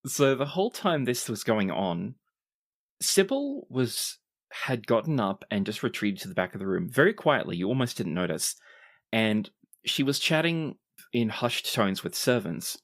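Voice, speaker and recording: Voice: medium pitch, sing-song, unhurried. Improvising game master narrating a tabletop adventure.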